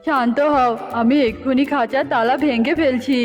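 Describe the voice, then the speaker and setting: Female actor as devoted young bird hero, cartoon dub, high pitch, earnest, resolute, music behind. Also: fairly even intonation, moderate pace, lightly acted. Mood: concerned. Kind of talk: cartoon dubbing. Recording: dull lo-fi audio with music bed.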